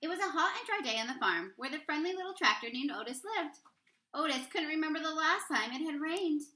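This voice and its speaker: A woman reading a children's picture book aloud expressively, with deliberate, dramatic emphasis on key words. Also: high-pitched, animated, bright, forceful tone, echoey room. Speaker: read-aloud storyteller, teacher reading to children.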